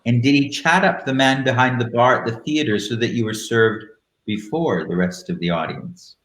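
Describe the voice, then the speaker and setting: A male live-show host with a camp, theatrical delivery and deliberate phrasing. His mid-pitched voice comes through with a clearly roomy echo, as he chats casually or reads aloud with drama. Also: fairly bright tone, a little hiss or hum. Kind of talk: casual live chat with dramatic book readings. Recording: roomy, echoing live-stream sound.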